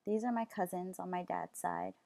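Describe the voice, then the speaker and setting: Young woman reading family doc narration, calm, bit of fry, dry booth-y sound. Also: lowish voice, moderate pace, no room sound. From Los Angeles. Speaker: student filmmaker narrating. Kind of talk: scripted documentary narration.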